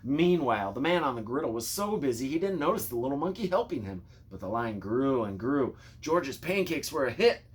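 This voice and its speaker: A man narrating a children's storybook in an expressive, playful way, speaking directly to young listeners. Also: medium pitch, brisk, hardly any pauses. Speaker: storybook reader.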